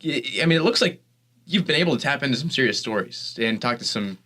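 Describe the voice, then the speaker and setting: A man hosts a casual interview in a studio, talking quickly with a bright, lively tone. He is chatty and jokes with his guest. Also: medium pitch. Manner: joking, loose and chatty. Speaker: college sports podcast host. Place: radio studio.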